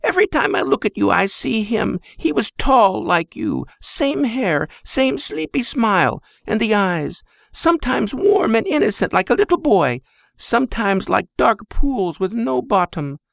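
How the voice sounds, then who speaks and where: A man's voice: sits high, big pitch swings, unhurried, gravelly, fairly bright tone, no room sound, studio quality, pitched above the performer's own voice, exaggerated voice. A man voicing an older Greek immigrant mother who scolds in broken English. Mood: wistful.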